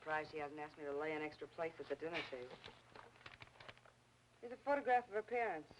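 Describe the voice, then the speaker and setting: An actress playing a jaded, wisecracking swindler's accomplice in 1940s film noir style, sardonic and slangy, on a noisy old soundtrack. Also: female voice, sits low, animated, quick pace. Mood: sardonic, weary.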